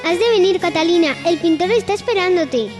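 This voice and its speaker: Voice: very high voice, lively intonation, a little fast, over music. A performer playing a cautious, dutiful young princess, an anxious sister, in a radionovela-style radio drama. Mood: coaxing.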